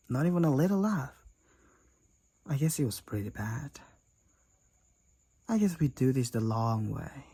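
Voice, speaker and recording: A woman's voice: a bass voice, warm tone, full character voice. A woman playing a cocky, teasing demoness college roommate and gamer in a roleplay audio drama, with a wry, theatrical delivery. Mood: wry, coaxing. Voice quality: raspy, creaky. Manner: teasing, wry, theatrical, addresses the listener as Sugar.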